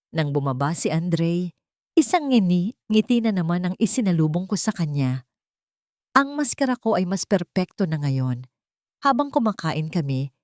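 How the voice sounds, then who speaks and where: A woman narrating a scripted first-person drama in a measured, deliberate way. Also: lowish voice, darkish tone, no room sound, clean studio sound. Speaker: story narrator.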